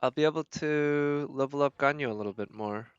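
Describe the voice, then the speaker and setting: A man's voice: on the high side, a little fast, clear, resonant tone, dry recording, lo-fi sound. A man giving casual, rambling gameplay commentary as a streamer, thinking aloud as he plays. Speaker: game streamer.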